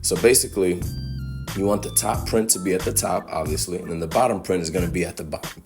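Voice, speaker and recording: A young man with a slightly hoarse voice from a cold, giving a laid-back, chatty game walkthrough full of fillers. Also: deep voice, fast talker, music in the background. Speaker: gaming guide creator. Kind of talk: casual game walkthrough commentary.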